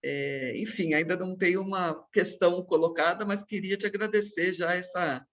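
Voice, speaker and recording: A woman's voice: on the low side, normal speed, highs cut off. A woman joining an online discussion by video call, speaking in a searching, appreciative way. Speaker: discussion participant.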